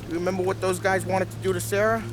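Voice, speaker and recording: An actor playing a hot-tempered, callous gangster enforcer in a crime game, brash, profane and goading. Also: male voice, sits high, little pitch movement, quick pace, noisy background, close to an everyday voice. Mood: cold, coaxing. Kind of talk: cinematic crime game acting.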